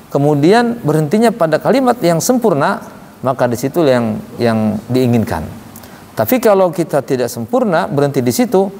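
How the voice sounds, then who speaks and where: A man's voice: a little high, gravelly, darkish tone. A man teaching an informal religious lesson, explaining conversationally and often checking with rhetorical questions. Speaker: Quran recitation teacher. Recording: reverberant hall with obvious background noise.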